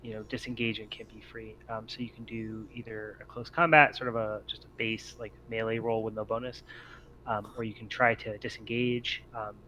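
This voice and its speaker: A man's voice: on the low side, level tone, brisk, pushed and bright. A man refereeing a tabletop game as game master, thinking aloud and accommodating as he improvises rulings. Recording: muffled mic with noticeable background noise.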